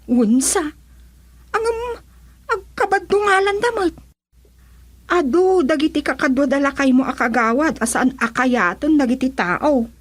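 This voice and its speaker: A woman's voice: high voice, animated, unhurried, pauses often, no echo. A woman with a Filipino accent acting as a gossipy village storekeeper in a comedy radio drama, complaining in everyday colloquial speech. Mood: gossiping.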